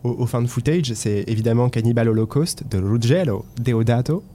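A man's voice: medium pitch, moderate pace, a little hiss or hum. A man hosting a film podcast, introducing topics and leading the talk with some hesitation. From France. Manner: lively, hesitant at times. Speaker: podcast host.

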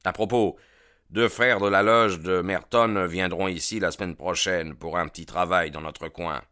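A man reading aloud, voicing an authoritative gang boss who gives orders in a commanding, smooth-talking way. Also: sits low, fairly even intonation, normal speed, sharp tone, no echo, clean studio sound.

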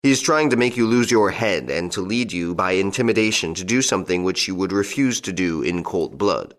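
A man voicing a calm, shrewd police prefect who gives polite, measured advice in a storybook reading. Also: sits low, close to an everyday voice. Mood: shrewd, explaining.

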